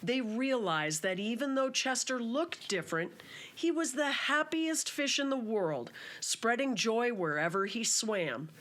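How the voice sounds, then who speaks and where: A woman reading a children's story aloud as its author, in a simple, measured narrating style. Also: on the high side, expressive, fairly bright tone.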